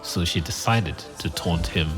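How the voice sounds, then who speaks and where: Narrator: male, lowish pitch, scripted storytelling, hall-like reverb, faint music. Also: measured pace, fairly bright tone.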